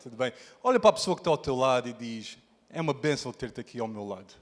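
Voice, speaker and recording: A man's voice: medium pitch, expressive, fast talker, a little hiss or hum. Portuguese-accented male guest preacher, playful and exclamatory, long church reverb. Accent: European Portuguese. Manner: playful, exclaiming, talks straight to the congregation. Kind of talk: lively sermon opening. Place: large church hall.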